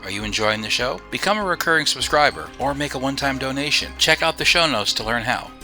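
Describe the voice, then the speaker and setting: A man's voice: mid-range voice, lively intonation, quick pace. A man hosting a podcast, reading scripted credits and announcements. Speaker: podcast producer and host. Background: music.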